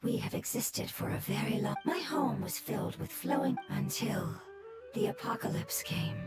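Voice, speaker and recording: Ancient elemental creature, high breathy voice, whispery, swooping intonation, earnest, formal, video game acting, loud music behind. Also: female voice, sing-song, moderate pace. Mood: sorrowful.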